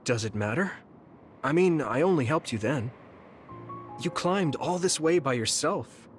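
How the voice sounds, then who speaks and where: A man in an English game dub voicing a kind, earnest young psychic soldier who speaks gently and casually, over music. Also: on the high side, lively intonation, measured pace. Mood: reassuring. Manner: gentle, sincere, casual phrasing. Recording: music behind the voice.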